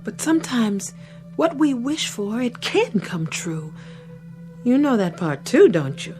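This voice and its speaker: An actress playing a gentle, hopeful young boy's mother in a radio drama, tender and earnest. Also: a little high, expressive, unhurried, soft background music.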